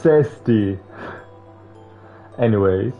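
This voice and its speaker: A man's voice: fairly low pitch, animated, very slow. A young man streaming a game, reading its text aloud and breaking off into casual, slangy, sweary joking. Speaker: streamer playing a visual novel. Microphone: streamer microphone with face cam. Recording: narrow phone-like audio with music behind. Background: game music.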